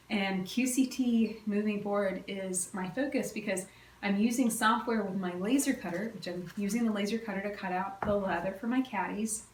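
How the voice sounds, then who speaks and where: A woman with a slightly stuffy, cold-affected voice, chatting informally and enthusiastically as a craft podcast host. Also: medium pitch. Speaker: podcast host, quilting teacher. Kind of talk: casual solo chat, craft update. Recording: roomy echo, distant mic, faint noise.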